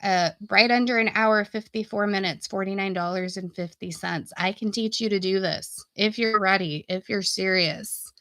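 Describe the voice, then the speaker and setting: A woman talks straight to her viewers like an informal coach on a live stream. Her voice is bright and forward at a middling pitch, though she is getting sick and starting to lose it. The sound is dry, with very little room to it. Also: moderate pace, few pauses, dry recording. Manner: informal, folksy, addressing viewers directly. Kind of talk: casual live stream chat and sales pitch.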